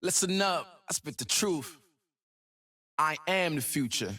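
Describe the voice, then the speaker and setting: A young, ambitious hip-hop hero from a video game. His voice is high and swings around in pitch, with slow, rhythmic, slangy swagger and total self-belief. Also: lively intonation, pushed and bright, exaggerated voice. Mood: confident, driven. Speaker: video game voice actor and rapper. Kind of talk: game voice lines.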